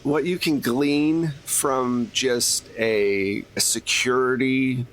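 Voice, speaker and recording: A man's voice: mid-range voice, steady tone, slow pace, fairly bright tone. A man acting as game master, narrating a tabletop role-playing game in a conversational, teasing way.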